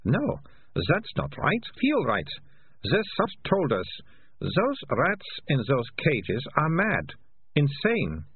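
Know-it-all storybook girl, done by a male reader: raised pitch, brisk, bossy, thin phone-band sound. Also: wildly swooping pitch, breathy edge, dry recording, phone-quality sound, heavily acted.

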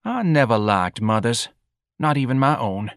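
A man's voice: mid-range voice, sing-song, moderate pace, clear, resonant tone, no echo, studio quality, pitched above the performer's own voice. A man voicing a hard-bitten, bossy woman who owns a bar, curt, coarse and scornful, in an audiobook.

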